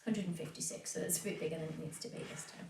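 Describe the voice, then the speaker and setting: A woman's voice: lowish voice, steady tone, very fast. Sniffly woman teaching from afar. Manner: chatty, explains as she works, many fillers. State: sniffly from hay fever. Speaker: cake decorator teaching a live stream. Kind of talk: casual live tutorial. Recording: roomy, distant, faint noise.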